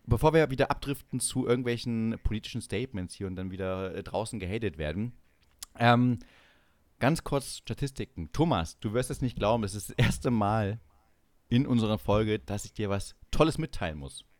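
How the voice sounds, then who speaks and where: A man's voice: on the low side, moderate pace, a little mellow, no room sound. A man co-hosting a casual banter podcast, teasing and playfully mock-enthusiastic. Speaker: podcast co-host.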